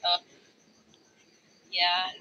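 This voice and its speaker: A young Thai woman, an MBA student, answering questions on an online video call in hesitant, broken English with many pauses. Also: lowish voice, completely flat delivery, muffled recording. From Thailand. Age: young adult. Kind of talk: casual video chat in halting English. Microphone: online video chat.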